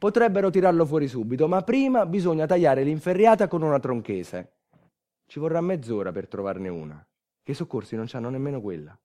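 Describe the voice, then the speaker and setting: A man's voice: a little high, lively intonation, a little fast, warm tone. A man with a southern Italian accent performing a theatrical narrative monologue, colloquial, emphatic and urgent. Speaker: solo stage actor narrating a monologue. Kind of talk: dramatic theatrical storytelling, radio monologue.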